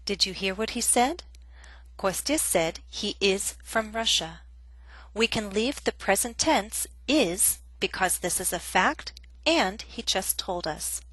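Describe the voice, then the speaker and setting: A woman teaching an English grammar lesson in a clear, measured, scripted way. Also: medium pitch, animated, a bit bright, no echo, low-quality recording.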